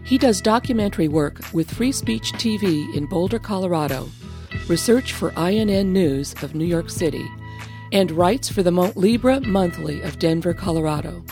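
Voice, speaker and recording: A woman hosting a radio interview, giving measured, formal introductions before questioning her guest. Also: fairly low pitch, lively intonation, darkish tone, faint music behind. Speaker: radio host.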